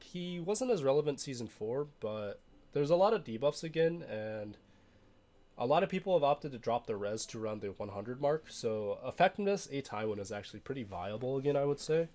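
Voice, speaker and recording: A young man, a little hoarse from being sick, talking casually through his game roster with frequent fillers and restarts. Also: medium pitch, animated, a little fast, darkish tone. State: hoarse from illness. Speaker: game streamer.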